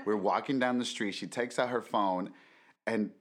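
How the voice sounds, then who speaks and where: Deep-voiced man, playful podcast co-host. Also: normal speed. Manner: playful, animated teasing. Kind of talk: casual chat. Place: Inglewood, California.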